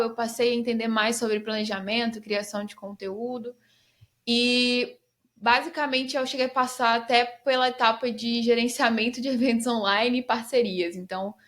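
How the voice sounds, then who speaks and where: A woman from Bahia, a guest answering warmly and casually in a podcast interview. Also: on the high side. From Bahia, Brazil. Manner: casual, enthusiastic, many fillers. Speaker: guest, SEO specialist.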